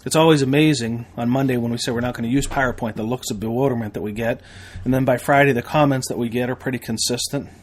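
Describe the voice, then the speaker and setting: Emphatic American man pitching on a podcast. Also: steady tone, quick pace, hardly any pauses. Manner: emphatic, folksy sales delivery with repetition and rhetorical questions. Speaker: podcast host and firefighter training instructor. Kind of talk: promotional podcast monologue.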